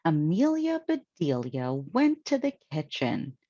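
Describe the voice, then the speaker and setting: An American woman storyteller reads to children at an unhurried pace. Her pitch moves in lively sweeps and her tone is mellow. The recording is dry and a little dull. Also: dry recording. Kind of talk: children's read-aloud narration.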